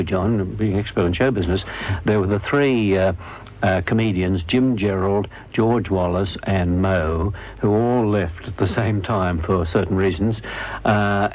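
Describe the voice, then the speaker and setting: An older Australian man recalling his radio days in a halting, anecdotal interview. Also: lowish voice, darkish tone, rough recording. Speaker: veteran entertainer, interviewee.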